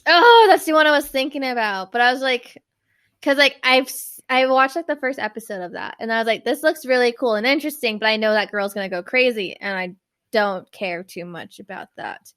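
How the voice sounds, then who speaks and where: A woman podcast co-host with a fairly high voice talks through a true crime story in loose, casual chat. She rambles, leans on filler words, and trips over long words. Her first language is Spanish, and she grew up in New York. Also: fairly quick, fairly bright tone, smooth.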